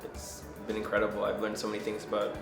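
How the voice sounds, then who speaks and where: Man, low gravelly earnest voice. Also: monotone, fast. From Jamaica. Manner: earnest, with frequent ums. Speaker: culinary student and chef. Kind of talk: interview testimonial. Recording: echoey room with background music.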